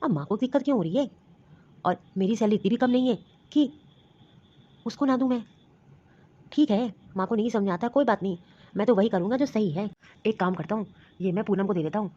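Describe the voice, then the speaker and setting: An earnest, defensive young husband played by a woman in a homemade family skit. He talks quickly in a dark, husky voice, and the recording has a dull, lo-fi sound. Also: medium pitch, natural voice. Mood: puzzled.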